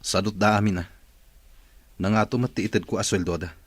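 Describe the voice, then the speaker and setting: Deep, husky, irritable man, acted out by a man. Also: fairly even intonation, brisk, dry recording. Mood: curt, impatient. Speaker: actor in an audio drama. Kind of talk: dramatised story dialogue.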